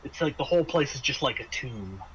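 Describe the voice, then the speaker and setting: Bright-voiced man running a tabletop game. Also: mid-range voice, expressive, lo-fi sound. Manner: hesitant, halting phrasing with repeated words. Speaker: game master. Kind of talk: improvised narration of a tabletop game. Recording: faint background noise.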